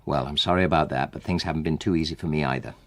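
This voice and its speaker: An actor playing a wronged, coldly sarcastic husband in a radio drama, with a dry, deliberate manner. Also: male voice, rumbling low, fairly bright tone, exaggerated voice. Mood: cold, accusing. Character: wronged husband, former rugby man, coldly sarcastic and controlled. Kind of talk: naturalistic radio drama acting.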